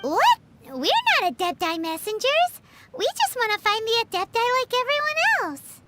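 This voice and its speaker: Tiny cheeky fairy sidekick from a game, super high squeaky kid voice, really bouncy pitch, noisy clip. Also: female voice, sing-song, moderate pace. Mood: puzzled, blunt. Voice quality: squeaky, childlike. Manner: refers to self in third person, cheeky. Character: tiny childlike female fairy companion, chatty and pert. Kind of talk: video game voice acting.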